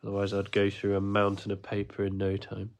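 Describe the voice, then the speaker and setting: Snuffly man with a gravelly voice. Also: on the low side, completely flat delivery, a little fast. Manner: rambling, many ums and uhs. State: stuffy with a cold. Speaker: art teacher running a livestream class. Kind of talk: casual livestream drawing lesson.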